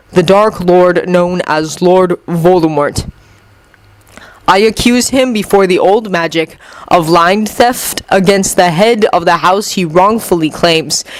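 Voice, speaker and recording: A brave young wizard in a fantasy story, played by a narrator. He declaims with slow, formal weight. His tone is solemn and defiant, pitched in a middle register. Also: no room sound, lightly acted, low-quality recording.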